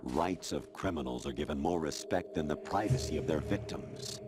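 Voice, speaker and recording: A man voicing a formless artificial intelligence in a video game, lecturing in a measured, authoritative, matter-of-fact way. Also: a bass voice. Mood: cold, lecturing. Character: formless male artificial intelligence posing as colonel, coldly lecturing. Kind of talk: video game cutscene acting. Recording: loud music behind game dialogue. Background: loud music.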